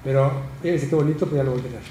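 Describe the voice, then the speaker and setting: An older man hosts a round-table chat in a small TV studio, easygoing and talkative, pausing often on fillers. His voice sits at an ordinary pitch. The room sounds roomy, with a clear hum of noise. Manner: relaxed, chatty, hesitant with fillers. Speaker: host. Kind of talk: studio talk show chat.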